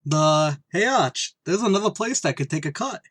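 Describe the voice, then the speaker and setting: An actor playing a good-natured, slow-witted tavern regular in an old-time radio comedy, halting and naive. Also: male voice, on the high side, lively intonation, a bit bright, slightly rough, no room sound. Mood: eager, dim.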